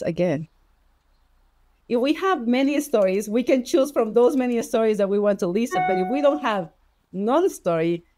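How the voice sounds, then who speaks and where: Woman, Spanish accent, native Spanish speaker, podcast guest, heartfelt personal storytelling, mid-pitched voice. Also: mellow, no echo.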